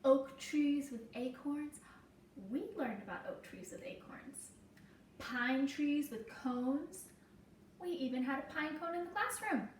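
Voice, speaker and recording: High-voiced, unhurried woman teacher reading aloud. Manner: deliberate, explaining simply to children. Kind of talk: children's story read-aloud with explanatory asides. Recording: roomy echo, distant mic, faint noise.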